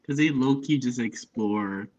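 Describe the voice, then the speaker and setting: Young male panelist, mid-pitched, casual halting phrasing, somewhat dull online-call recording. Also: a little mellow. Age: young adult. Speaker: book discussion panelist. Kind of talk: casual chat. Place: indoor home room on a video call. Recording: slightly dull video-call audio.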